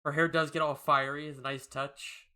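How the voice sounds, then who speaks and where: A male co-host describes a comic in casual chat. His voice is bright and forward, slightly high for a man, and he talks in a loose, halting, agreeable way. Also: level tone.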